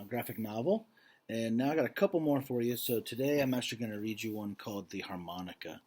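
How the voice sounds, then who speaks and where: Man reading a story aloud. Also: fairly low pitch. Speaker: teacher reading aloud to students. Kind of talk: picture book read-aloud with casual explanatory asides.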